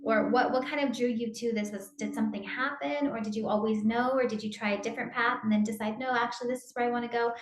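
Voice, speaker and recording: A woman's voice: on the high side, steady tone, fast, hardly any pauses. Effusive woman in an echoey room. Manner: enthusiastic, effusive, rambling questions. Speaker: interviewer. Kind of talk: interview host questions.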